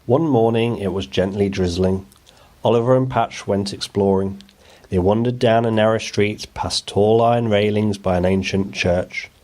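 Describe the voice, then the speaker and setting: A man's voice: lowish voice, steady tone, moderate pace, a little mellow. A man narrating a children's bedtime storybook as the story reader.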